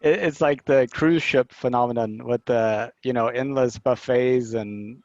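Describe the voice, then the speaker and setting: A man's voice: mid-range voice, steady tone, measured pace, darkish tone, dry recording. Man interviewing, dry dull audio. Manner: friendly, joking, hesitant starts. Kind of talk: casual video-call interview.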